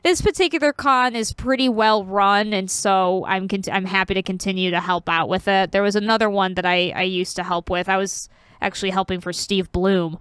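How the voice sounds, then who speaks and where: A woman's voice: mid-range voice, fairly even intonation, normal speed, fairly bright tone, smooth, no room sound. A woman co-hosting a podcast, talking in a casual, meandering way and telling stories. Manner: chatty, meandering storyteller. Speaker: podcast co-host. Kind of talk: casual chat.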